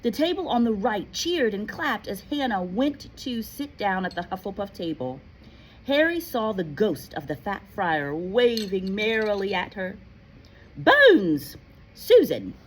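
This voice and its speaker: A woman reading a story aloud in an animated, playful, expressive way, like a teacher. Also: medium pitch, a little slow, a little hiss or hum, rough recording. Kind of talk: expressive read-aloud narration with casual asides.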